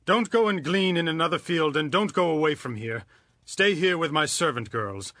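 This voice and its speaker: Casting: kindly landowner of standing, bright forceful voice, somewhat high, firm scripture-drama delivery. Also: male voice, animated, moderate pace, dry recording, clean studio sound. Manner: firm, protective. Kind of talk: dramatized audio Bible acting.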